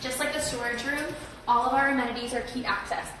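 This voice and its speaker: A young woman with a slightly raspy voice from a cold, giving a friendly, chatty live tour of an apartment building. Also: high voice, steady tone, fast talker, fairly bright tone, slight background noise. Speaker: leasing tour host. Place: echoey empty indoor rooms. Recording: echoey room from far off.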